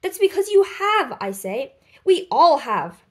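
A woman reading a children's book aloud expressively, with deliberate, dramatic phrasing and lifted voices for dialogue. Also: high-pitched, very animated. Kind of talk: expressive storybook read-aloud.

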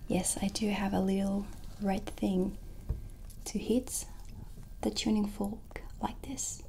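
A middle-aged woman hosting an ASMR roleplay, explaining softly and soothingly in a gentle whisper, close to the microphone. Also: mid-range voice, flat delivery, slow pace, creaky, slight background noise. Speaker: ASMR host presenting a sound healing roleplay. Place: small dim indoor set.

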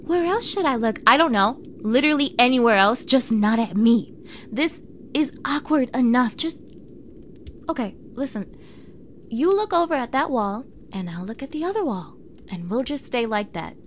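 A young woman playing a defensive mean girl with a vulnerable side in an intimate ASMR roleplay, halting and often dropping to a breathy near-whisper. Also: on the high side, animated, a bit bright. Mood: flustered, irritated. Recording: clearly audible background noise bed.

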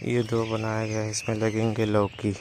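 A man's voice: fairly low pitch, moderate pace. A young man vlogging casually outdoors as he shows his village garden and explains the work. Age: young adult. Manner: relaxed, explains while showing his garden. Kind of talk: casual village vlog narration. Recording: noisy outdoor ambience.

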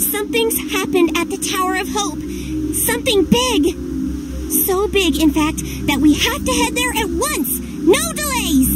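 Video game fairy guide: tiny, flighty, chirpy, very high and swooping, over loud music. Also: female voice, rough recording. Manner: flustered, chirpy, stammering. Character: flighty, excitable little female fairy guide.

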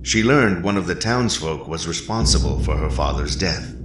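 A man's voice: low voice, animated, normal speed. A man reading dramatic scripted narration as the narrator of a drama recap. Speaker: narrator giving a series recap. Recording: roomy sound, clear background noise.

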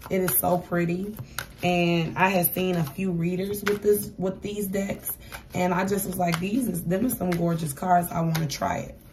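A hoarse, raspy woman giving a casual tarot reading at a table, chatty and repeating "okay?" to her viewers. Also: lowish voice, a little mellow, distant mic, slight background noise. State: hoarse, voice going for days. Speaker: tarot reader. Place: indoors at a table with cards and crystals. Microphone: camera across the table.

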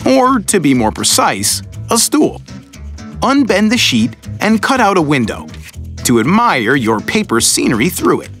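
A man narrating a children's story in a playful, expressive storybook manner. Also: on the high side, unhurried, soft background music.

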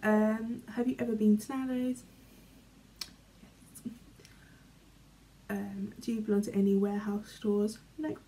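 A young British woman vlogging from her bedroom, reading questions aloud and answering in a chatty, rambling way, often trailing off quietly. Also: mid-range voice, level tone, unhurried, long pauses, rough recording. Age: young adult. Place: small bedroom, indoors. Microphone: camera in front, medium framing.

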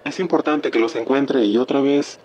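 A man's voice: medium pitch, fairly even intonation, normal speed, a little mellow, slightly rough, muffled recording, close to an everyday voice. A man with a Latin American accent playing an earnest, uneasy scientist leaving voicemail messages in a radio drama. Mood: earnest, distracted. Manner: earnest, preoccupied.

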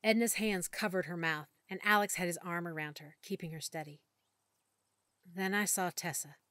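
A woman narrating a first-person audiobook story in a measured, emotional way that sometimes drops to a hush. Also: on the low side, no echo. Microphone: close studio mic.

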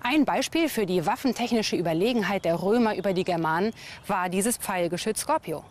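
A woman's voice: mid-range voice, fairly quick. A woman reading scripted documentary narration.

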